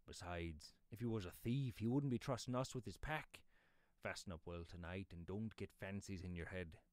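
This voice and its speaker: A man voicing a gruff but kindly yeoman father in a storybook reading, with blunt, brisk, rustic speech. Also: low voice, darkish tone, no room sound, studio quality, close to an everyday voice. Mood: reassuring, brisk. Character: gruff, kindly, quick-speaking yeoman father, brusque and dismissive. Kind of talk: audiobook character voice.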